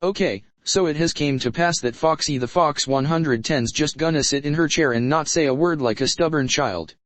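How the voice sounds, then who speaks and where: A man's voice: fairly high pitch, normal speed, a bit bright. A man hosting a commentary channel, mocking and insulting the person in the clips he plays back. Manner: taunting, insulting, scornful.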